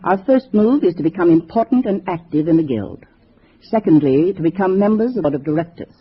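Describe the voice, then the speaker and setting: A woman's voice: on the low side, animated, fairly quick, husky. An actress playing an older, calculating female communist organizer in a 1950s radio drama, precise, didactic and assured. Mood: commanding. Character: gray-haired female communist organizer, sweet-faced, calculating, commanding. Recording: muffled vintage radio recording, faint hiss.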